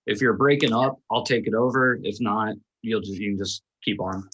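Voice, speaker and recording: A man leading a table read on a video call, talking casually and haltingly in a reassuring, informal way. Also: lowish voice, a bit bright, muffled recording, a little hiss or hum. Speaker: film production lead running a table read.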